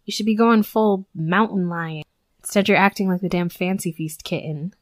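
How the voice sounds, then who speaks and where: A woman's voice: lowish voice, normal speed, dry recording, lightly acted. A young woman playing a brash, sweary tsundere werewolf bully who scolds with grudging protectiveness, in a scripted audio roleplay. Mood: teasing, smug. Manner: scolding, sarcastic, sweary, exasperated. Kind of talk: scripted first-person roleplay audio acting.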